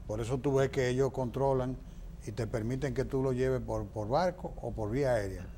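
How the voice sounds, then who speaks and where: A man's voice: mid-range voice, moderate pace, mellow, slight background noise. A man with a Dominican Spanish accent giving opinionated, explanatory political answers as an interview guest, emphatic and fond of rhetorical questions.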